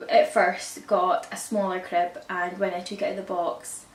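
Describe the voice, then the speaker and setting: A woman with a croaky voice from a cold, chatting casually to camera about baby products and often correcting herself. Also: fairly low pitch, flat delivery, normal speed. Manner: rambling, self-correcting, chatty. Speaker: parenting vlogger. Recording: echoey room, distant mic, faint hiss.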